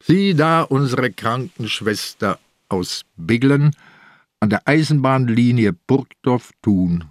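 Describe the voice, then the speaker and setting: An actor playing an ailing, irritable old police commissioner in a radio crime drama, with a dry, sardonic manner. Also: male voice, medium pitch, lively intonation, takes it slowly, dry recording. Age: older.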